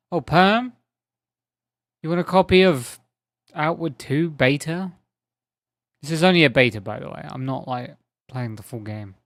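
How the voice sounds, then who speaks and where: A man's voice: medium pitch, dry recording. A man streaming a game, chatting casually and rambling to his viewers, his voice a little strained as if he is losing it. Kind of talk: casual live-stream chat while gaming.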